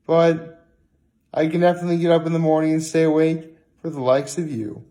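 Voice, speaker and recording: Man, higher-pitched voice, exhausted, casual vlogger, halting, repetitive, rambling. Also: steady tone, mellow. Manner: halting, repeats and circles back to his point. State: tired. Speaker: daily vlogger. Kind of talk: casual rambling vlog monologue.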